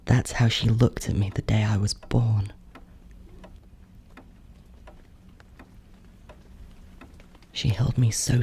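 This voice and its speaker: Bitter sibling ghost , played by an actor for horror audio drama: hushed, mellow and creaky, brooding, yearning. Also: mid-range voice, a little hiss or hum. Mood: haunted, longing. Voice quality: breathy, often near whisper, creaky. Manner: slow, halting, brooding.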